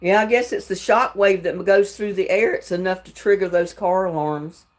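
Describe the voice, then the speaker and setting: A woman's voice: mid-range voice, normal speed. An older woman from North Carolina with a Southern drawl that carries some Texas, chatting casually with viewers on a livestream and chuckling often. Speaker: livestream host. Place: home in Texas.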